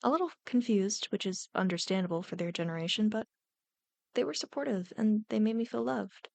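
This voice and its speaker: A woman's voice: medium pitch, animated, fairly quick, gravelly, a little mellow, no echo. Woman playing playful non-binary friend, dry close sound. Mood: sincere. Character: warm, jokey non-binary young adult friend. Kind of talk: ASMR roleplay.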